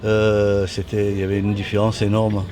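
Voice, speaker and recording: A man in his sixties with a mild Toulon accent, a former racer recalling his past in a halting, restart-filled way. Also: lowish voice, completely flat delivery, dark tone. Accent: mild Toulon southern French. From Toulon, southern France. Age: 60s. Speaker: former motorcycle racer, guest. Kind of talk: casual interview reminiscence. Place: at a racing circuit.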